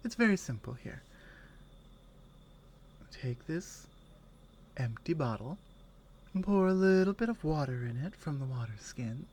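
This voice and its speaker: Actress as slow-speaking, caring Nordic warrior woman. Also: low voice, expressive, darkish tone, slight background noise. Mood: reassuring, instructive. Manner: unhurried, halting phrases, often dropping to a whisper. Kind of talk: ASMR roleplay, intimate one-sided dialogue.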